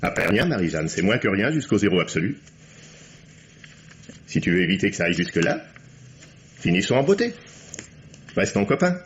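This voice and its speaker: An actor playing a cold, sarcastic lover, cutting and theatrical, in a French vintage radio drama. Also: male voice, sits low, sing-song, normal speed, long pauses, slight background noise. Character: cold, mocking, cruel male lover eager to leave. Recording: dull vintage radio recording.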